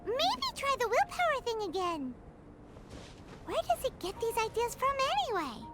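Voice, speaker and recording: A woman voicing a small, bubbly, cheeky fairy companion with a childlike squeaky voice in a video game. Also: very animated, moderate pace, loud music behind the voice. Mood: puzzled. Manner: childlike squeaky, refers to herself in third person.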